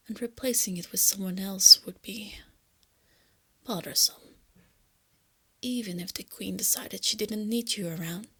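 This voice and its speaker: A woman voicing a female lamia captor in an ASMR roleplay, soft and breathy, teasing her captive with smug, possessive tenderness. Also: medium pitch, heavily acted. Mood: whispered taunting. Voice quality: breathy, often dropping to a whisper. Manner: soft, taunting, condescending, intimate.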